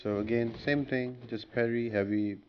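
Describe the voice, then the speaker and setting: A man's voice: medium pitch, fairly even intonation, moderate pace, lo-fi sound. A man giving a casual, step-by-step walkthrough commentary as the host of a gaming guide. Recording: clearly noisy voice-over with background ambience.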